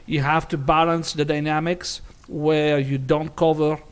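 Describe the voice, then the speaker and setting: A man, a pianist on a jury panel, giving critique in a halting way with frequent restarts. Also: a little high, little pitch movement, clean tone. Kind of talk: spoken critique.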